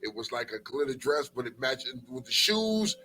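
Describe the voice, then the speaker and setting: A man co-hosting a casual talk show with profane, slangy, teasing banter, animated and repeating himself for emphasis. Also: medium pitch, normal speed, poor audio.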